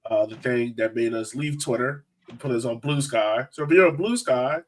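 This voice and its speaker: A man's voice: medium pitch, moderate pace, slight background noise. A man hosting a casual group chat, joking and exclaiming as he banters. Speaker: podcast host.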